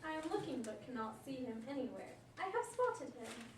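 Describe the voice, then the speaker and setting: A woman's voice: high voice, big pitch swings, normal speed. A woman playing a dim but eager princess in an amateur puppet show, reciting her lines very literally. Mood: eager, plaintive. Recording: echoey room, distant mic, faint noise.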